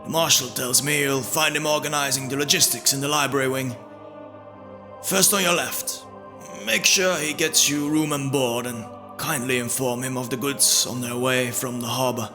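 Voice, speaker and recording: A man's voice: mid-range voice, steady tone, normal speed, pitched above the performer's own voice, close to an everyday voice. A man voicing a worried, kindly monk healer in a fantasy audio drama, speaking earnestly and with urgency. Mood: apologetic, worried. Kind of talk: audio drama character acting. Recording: music bed under the voice.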